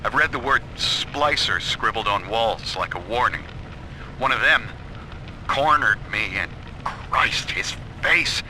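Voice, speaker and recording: A man's voice: medium pitch, animated, slow, bright, forceful tone, a little hiss or hum, full character voice, low-quality recording. Tense, rattled searching father. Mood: shaken. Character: desperate, rattled father searching for his daughter. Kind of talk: dramatic audio diary acting.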